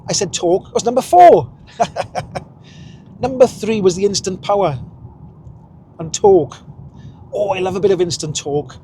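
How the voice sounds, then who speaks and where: Welsh guy driving and chatting away, kinda high voice, chuckles at himself, bit of road noise. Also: measured pace, long pauses, dark tone. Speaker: vlogger. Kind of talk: casual driving vlog. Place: inside a car while driving.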